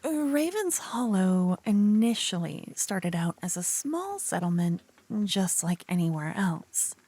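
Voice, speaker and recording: A woman playing a silly, adorable young paladin in an ASMR audio roleplay, teasing and theatrical, hushed in places. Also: medium pitch, big pitch swings, takes it slowly, slightly rough, heavily acted. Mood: proud, lecturing. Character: adorable silly young female paladin, bossy, smitten, playful know-it-all.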